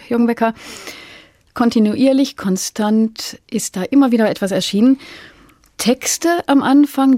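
A woman hosting a literary interview, speaking in a measured, articulate and thoughtful way. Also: a little high, warm tone, no room sound, studio quality. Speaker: interviewer, host.

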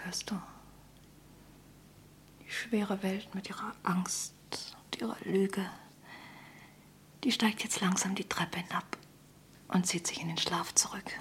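Casting: female actor as young wartime singer in a radio drama; medium-pitched, breathy, whispery, tender, anxious but brave. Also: close to an everyday voice. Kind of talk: German radio play acting, intimate dialogue. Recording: faint background noise.

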